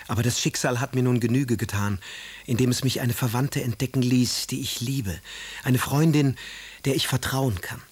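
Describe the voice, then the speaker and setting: A man's voice: medium pitch, moderate pace, a little mellow, slightly rough, close to an everyday voice. An actor playing a passionate, melancholy aristocratic male poet, speaking expressively in a literary radio drama. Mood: tender. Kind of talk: literary radio drama recitation of letters and verse.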